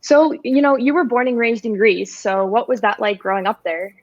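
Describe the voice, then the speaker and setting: A woman's voice: fairly high pitch, steady tone, normal speed, dull audio. A young woman interviewing an athlete on student radio, friendly and chatty with many filler words. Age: young adult.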